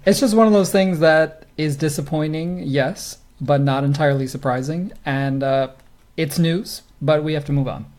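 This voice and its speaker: Male podcast co-host, slightly high-pitched voice, informal conversational sports commentary. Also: moderate pace, a little mellow.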